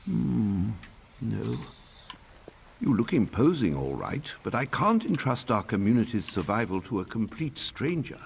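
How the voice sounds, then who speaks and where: An actor playing a stern elderly abbot and protective father in a video game, with a grave, gravelly voice. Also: lowish voice, sing-song, unhurried, noisy background, exaggerated voice. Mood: appraising.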